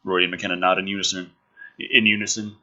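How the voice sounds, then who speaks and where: A man's voice: low voice, little pitch movement, fast, pushed and bright, highs cut off. A man reading a script dramatically and breaking off into sarcastic, exasperated, sweary commentary as the host.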